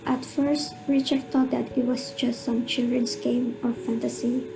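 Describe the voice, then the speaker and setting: A woman narrating an amateur radio drama in a measured, scripted reading manner. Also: on the high side, level tone, creaky, noisy background. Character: storytelling narrator of a family mystery. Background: music under the voice.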